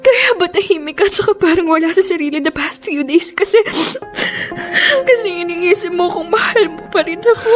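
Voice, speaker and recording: Very high-voiced, hurt young girlfriend. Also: moderate pace, over music. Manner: questioning, emotional, dramatic. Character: hurt, suspicious young girlfriend, emotional and demanding. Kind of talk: Tagalog radio drama acting.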